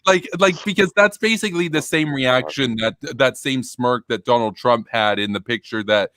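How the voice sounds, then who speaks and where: A man hosting a casual stream, talking in a rambling, animated way with many fillers and restarts. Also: mid-range voice, normal speed, a little hiss or hum. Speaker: stream host. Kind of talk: casual chat.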